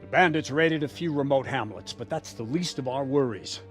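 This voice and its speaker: A man playing a dutiful medieval captain in a video game, reporting in a brisk, businesslike way. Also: mid-range voice, soft background music, lightly acted. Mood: urgent, dutiful. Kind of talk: dramatic video game acting.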